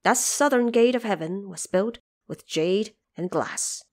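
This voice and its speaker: A woman's voice: medium pitch, a little slow, a little mellow. A woman narrating an audio drama in a scripted, dramatic storytelling style.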